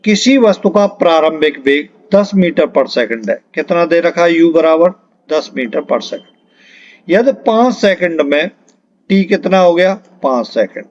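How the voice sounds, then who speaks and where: A man's voice: fairly high pitch, animated, slow, lo-fi sound. A man teaching an exam-preparation lesson step by step, explaining patiently and repeating key terms as he works through questions.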